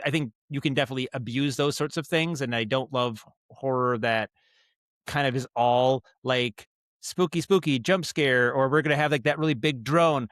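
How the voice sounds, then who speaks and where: A man's voice: mid-range voice, a bit bright, no echo. Man giving dry-recorded, rambling interview answers. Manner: rambling, thoughtful, frequent self-corrections and hedging. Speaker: podcast guest, audio storyteller and director. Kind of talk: casual interview talk, explaining craft.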